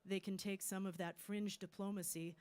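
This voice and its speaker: A woman's voice: mid-range voice, little pitch movement, normal speed, a little mellow. A woman speaking earnestly on a panel, with hesitant restarts. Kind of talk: panel discussion.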